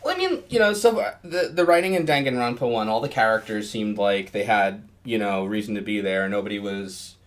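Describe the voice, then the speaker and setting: A rather low-voiced man hosting a casual podcast interview. He rambles loosely, filling his thoughts with "like" and "you know" while he talks it through. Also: animated, fairly quick, hardly any pauses.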